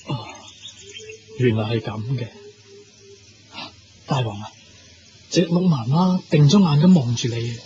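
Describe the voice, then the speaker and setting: A man's voice: medium pitch, lively intonation, a little slow, pauses often, warm tone, close to an everyday voice. A man with a Hong Kong Cantonese accent playing a puzzled, respectful royal guard in a Buddhist radio drama. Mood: deferential, concerned. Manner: inquisitive, respectful. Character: deferential, puzzled royal guard attending a king. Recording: dull lo-fi recording with faint noise.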